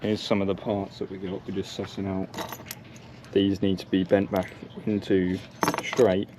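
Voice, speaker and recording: A man's voice: low-pitched, completely flat delivery, measured pace, warm tone, a little hiss or hum. A young man giving plain, matter-of-fact, casual commentary as he works, like a vlogger. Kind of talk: casual narration while working on bikes. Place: car, sheds and yard while working.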